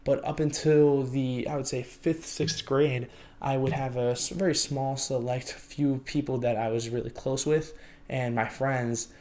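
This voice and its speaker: A young man raised in the United States, whose first language was Lithuanian, talks through his own life story to the camera. His pitch sits in an ordinary middle range, and his delivery is loose and reflective, full of "you know" and "I would say" as he hunts for the right word. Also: steady tone, unhurried, dark tone. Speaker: vlogger. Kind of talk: personal life story, unscripted.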